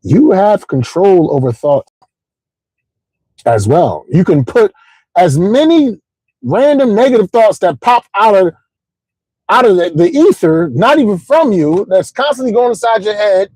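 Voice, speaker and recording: A middle-aged man hosting a casual livestream, rambling in an animated, motivational way with lots of repeats and "you know what I'm saying". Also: high voice, measured pace, breathy edge, dry recording, low-quality recording. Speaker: host.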